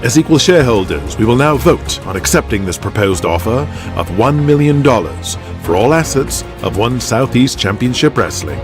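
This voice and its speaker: A man's voice: medium pitch, sing-song, normal speed, hardly any pauses, music in the background, voice pushed up, natural voice. Man playing a prim, formal wrestling executive. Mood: formal, composed. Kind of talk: audio drama character voice.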